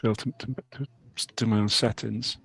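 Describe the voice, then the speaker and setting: Man with a cold, low voice. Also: little pitch movement, vocal fry, fairly bright tone, breathy edge. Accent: British. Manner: halting, many restarts and fillers. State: has a cold, clears throat. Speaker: guest speaker. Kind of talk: online talk presentation. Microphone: video call.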